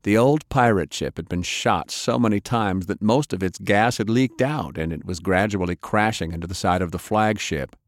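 A man with a low voice reads action fiction as an audiobook. His narration is dramatic and scripted, and the sound is dry, with hardly any room around it. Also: moderate pace, few pauses, no echo.

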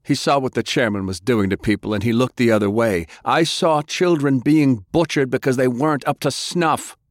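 A man's voice: medium pitch, moderate pace, dry recording, studio quality, pitched above the performer's own voice, lightly acted. An actor playing a bitter, righteous young man with magic powers in an audiobook, intense and accusing. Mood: enraged.

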